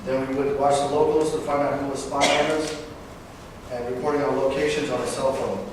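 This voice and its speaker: A man in his twenties from Northern California, a veteran giving a personal talk, halting at times with fillers and self-corrections. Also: mid-range voice, level tone. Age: 20s. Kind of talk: personal speech read to an audience. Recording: distant, echoey hall recording with background noise.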